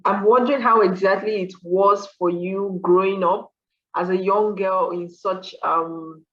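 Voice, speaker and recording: A woman interviews conversationally, responding to her guest and building long questions that double back on their own phrases. Her voice comes through dull, with a clearly roomy echo. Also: lowish voice. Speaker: interviewer, host. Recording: dull, echoey room sound.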